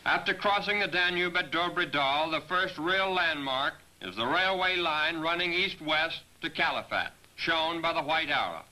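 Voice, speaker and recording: A man narrating a scripted military training film as a briefing, in a measured, directive manner, on a 1940s film soundtrack. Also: on the high side, expressive, pushed and bright, poor audio. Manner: measured, directive, addressing aircrews. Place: voice-over recording for a 1943 film.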